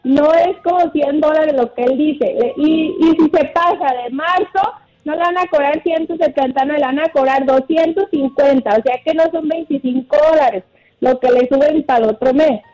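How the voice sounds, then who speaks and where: A woman's voice: high voice, moderate pace, a little mellow, soft background music. Colombian woman making points by phone. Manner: emphatic, methodical, makes numbered points. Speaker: caller. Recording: slightly muffled phone line.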